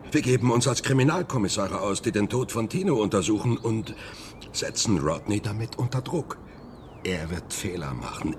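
A man's voice: sits low, background noise. An actor playing a persuasive, defiant and theatrical man in a radio drama, speaking with insistent rhetoric.

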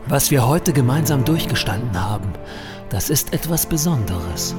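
Guy playing melodramatic heroic office adventurer, pompous, super animated, comedy audio drama with music under it. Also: mid-range voice, moderate pace, natural voice. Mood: solemn, heartfelt. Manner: pompous, grandiose declamation. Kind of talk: German comedic radio play acting. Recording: music behind the voice.

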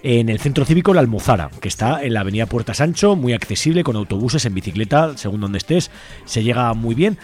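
Guy with hosting radio, talks quick, chatty and colloquial, explains stuff. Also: medium pitch, animated, a little mellow. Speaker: radio host. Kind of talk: informal radio interview.

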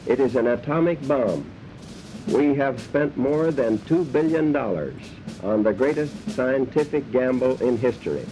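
A man's voice: mid-range voice, expressive, measured pace, warm tone, close to an everyday voice. An older man with an American accent giving a formal, declamatory 1940s political radio address. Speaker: statesman making an address. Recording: muffled archive recording with music, gunfire.

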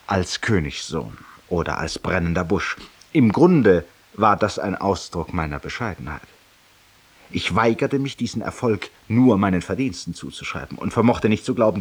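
An actor playing a self-satisfied middle-aged raconteur in a radio drama monologue, speaking with eloquent, ironic urbanity in a husky voice. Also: male voice, deep voice. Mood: smug, urbane. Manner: eloquent, urbane, ironic, addressing a silent listener.

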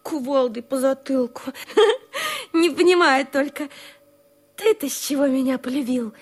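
A woman's voice: high voice, very animated, measured pace. An actress playing a naive, tender but touchy golden woman in a theatrical, exclamatory radio play style. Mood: tender, wistful. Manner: coquettish, exclamatory, swings from coaxing to indignation. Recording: studio radio play with music.

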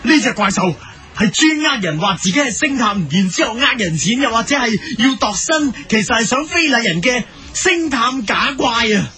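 Man as high-voiced, bossy hero captain. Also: lively intonation, moderate pace, sharp tone, faint music behind, exaggerated voice. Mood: urgent, heroic. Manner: preachy, exclamatory. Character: bossy, earnest male captain of parody hero squad. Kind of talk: comedic radio drama acting.

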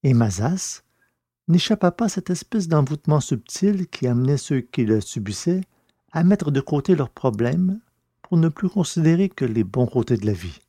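A man narrating a scripted story in a measured, literary reading style. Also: on the high side, very animated, warm tone, breathy edge, no room sound, clean studio sound.

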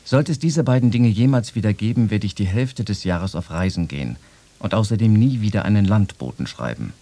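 Low, mellow, wry male writer. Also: expressive, a little fast. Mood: rueful. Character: wry, worried male writer reading his own letters. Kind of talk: literary letter reading in a radio feature.

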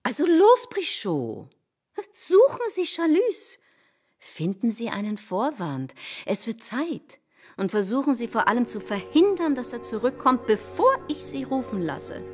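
An actress playing an imperious, scornful older society hostess in a radio play, conspiratorial and dramatic in manner. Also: female voice, a little high, very animated, a little slow, breathy edge, soft background music, exaggerated voice. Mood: commanding. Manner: conspiratorial, commanding, dramatic, sinking into hushed endings. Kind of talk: German radio play acting.

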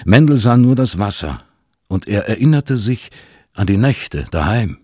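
A man narrating a literary radio play as a calm storyteller, husky and measured in his delivery. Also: fairly low pitch, no room sound, clean studio sound, heavily acted. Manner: measured, sober.

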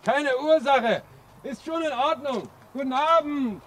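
An actor playing a good-natured, simple railway worker in a 1950s radio drama, folksy and a little hesitant. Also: male voice, extremely high pitch, unhurried, a bit bright. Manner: folksy, hesitant, chuckling asides. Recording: noisy old 1950s radio recording.

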